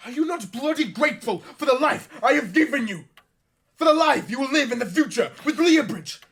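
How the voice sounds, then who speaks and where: A woman's voice: high-pitched, animated, fairly quick, fairly bright tone, breathy edge, full character voice. A woman playing a stern upper-class Victorian father in a period radio drama, pompous and commanding. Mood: outraged.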